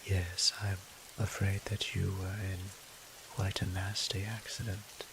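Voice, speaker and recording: A man playing a gentle, doting vampire priest and healer in a roleplay audio drama, speaking softly in formal, old-fashioned, courteous phrasing. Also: deep voice, little pitch movement, takes it slowly, a little hiss or hum, full character voice, poor audio. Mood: concerned, gentle. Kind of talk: scripted roleplay audio drama, addressing the listener.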